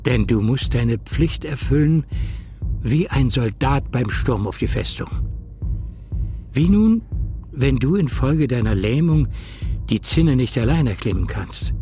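Slow, mellow, solemn philosopher voice. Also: male voice, mid-range voice, expressive, soft background music. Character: solemn male voice reciting stoic philosophy. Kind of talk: measured literary recitation in a radio play.

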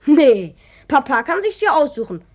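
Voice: sits high, very animated, moderate pace. A performer playing a boastful, earnest twelve-year-old boy who dreams of detective work, self-important and emphatic, in a children's radio play. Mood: boastful, eager.

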